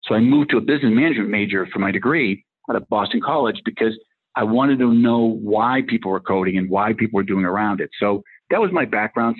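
A man in his fifties with a faint Massachusetts accent talks quickly through his career as a tech executive, rambling from one anecdote to the next. His voice is husky, and it comes through a narrow, phone-like line. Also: on the low side. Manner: rambling, acts out dialogue in anecdotes. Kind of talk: casual career storytelling.

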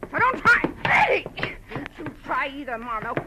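An actress playing a bitter, haughty murderess with sharp, theatrical 1940s radio delivery. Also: female voice, sits high, big pitch swings, normal speed. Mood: venomous, menacing. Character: bitter, cornered murderess, haughty and venomous woman. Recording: noisy old radio recording.

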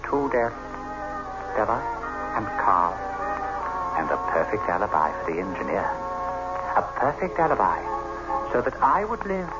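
A man's voice: lowish voice, sing-song, normal speed, long pauses, over loud music. An actor playing a bitter, paranoid husband with murder on his mind, theatrical and sardonic, in an old-time radio horror drama. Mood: brooding, sinister. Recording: muffled, very noisy vintage radio recording.

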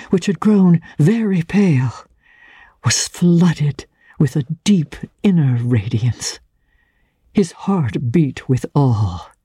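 A woman with a low, husky voice giving a dramatic narration of a classic novel as an audiobook reader. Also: mellow, no room sound, studio quality. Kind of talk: dramatic scripted literary narration.

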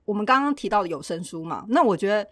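A woman's voice: medium pitch, lively intonation, quick pace, fairly bright tone, no room sound. A woman with a Taiwanese accent co-hosting a podcast, talking casually and reflectively in everyday colloquial speech. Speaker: podcast co-host.

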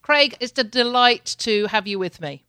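A woman's voice: fairly high pitch, animated, normal speed, bright, forceful tone, smooth, no echo. A woman hosting a casual interview, talking in a chatty way with frequent repeated words and light laughs. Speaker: podcast host. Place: home in Canada.

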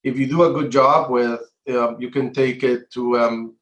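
A middle-aged man from Colombia giving measured, halting answers in an interview, pausing often as he explains. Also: mid-range voice, fairly even intonation, a bit bright, dull audio. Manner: halting, measured, frequent hesitations. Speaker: interview guest, entrepreneur. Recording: echoey, roomy sound.

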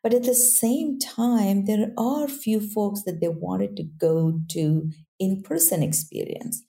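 Warm-voiced accented woman guest. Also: mid-range voice, slow pace, vocal fry. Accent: non-native English accent. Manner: earnest, repeats "right?" to check in. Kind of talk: casual interview talk.

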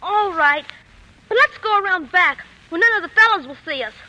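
A woman playing a scared, stubborn little boy putting on bravery, childlike and halting, in a 1940s radio drama. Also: extremely high pitch, expressive, highs cut off. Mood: nervous. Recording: noisy 1940s radio broadcast.

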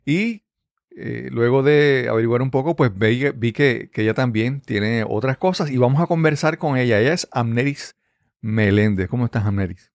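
A man's voice: medium pitch, moderate pace, no room sound, studio quality. A man hosting a podcast interview, talking conversationally with frequent fillers and restarts. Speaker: podcast host.

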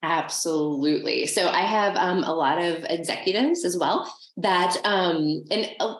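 Woman with vocal fry, echoey room. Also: on the low side, measured pace. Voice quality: vocal fry at phrase ends. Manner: enthusiastic, explanatory. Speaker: speech therapist guest. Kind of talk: interview explanation.

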